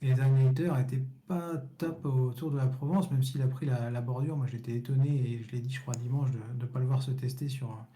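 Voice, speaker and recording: A man's voice: medium pitch, fairly even intonation, a little fast, hardly any pauses, mellow. A man co-hosting a cycling podcast, giving frank opinions in a casual, repetitive way. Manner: opinionated, frank, repeats words as he goes.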